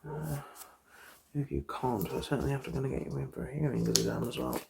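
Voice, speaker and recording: A soft, breathy ASMR performer playing an optician, murmuring in a hesitant, reassuring way and often drifting into a whisper. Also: medium pitch, steady tone, a little fast, background noise.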